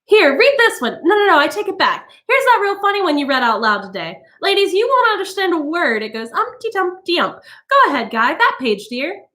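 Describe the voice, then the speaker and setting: A woman's voice: extremely high pitch, very animated, a little fast, pushed and bright, voice pushed up, heavily acted. A woman voicing a brittle, falsely cheerful housewife who talks in a quavery sing-song. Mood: sugary.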